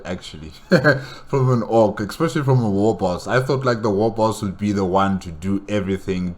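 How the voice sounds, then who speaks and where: Low-voiced man reacting casually. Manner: hesitant, thinking aloud, repeats words. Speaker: reaction video host. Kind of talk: casual solo reaction commentary.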